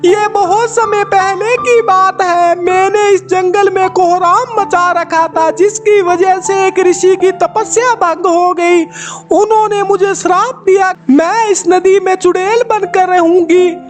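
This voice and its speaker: A man voicing a gleeful, haughty female river witch in a horror cartoon, with drawn-out exclamations. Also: very high voice, fairly even intonation, voice pushed up. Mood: grateful, boastful. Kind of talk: Hindi horror cartoon voice acting. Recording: loud music behind the voice. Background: loud background music.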